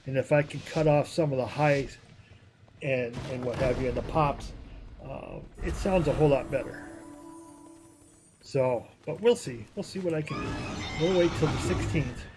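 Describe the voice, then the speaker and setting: Male game streamer, rambling casual chatter, harsh voice, faint music underneath. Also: normal speed. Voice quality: higher, harsher voice. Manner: rambling, many fillers and restarts.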